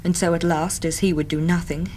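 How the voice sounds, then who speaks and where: An English actress playing an earnest, talkative young Victorian typist in a radio drama, chatty and plain-spoken. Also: female voice, on the low side, level tone, a little fast, darkish tone, a little hiss or hum, natural voice. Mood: indignant, candid.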